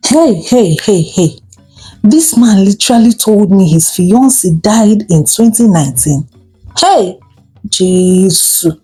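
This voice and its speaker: A woman's voice: medium pitch, lively intonation, takes it slowly, warm tone, slightly rough, soft background music, low-quality recording. A woman voicing a shocked, outraged young Nigerian girlfriend in a melodramatic, wailing storytelling performance.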